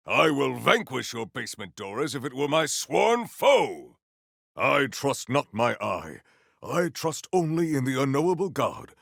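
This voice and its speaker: Gravelly, grandiose warrior. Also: male voice, mid-range voice, a bit bright, no echo, studio quality, full character voice. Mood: bold, declarative. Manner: formal, archaic, grandiose phrasing. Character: proud, honourable warrior with solemn, grandiose temper. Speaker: video game voice actor. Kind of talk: theatrical video game character lines.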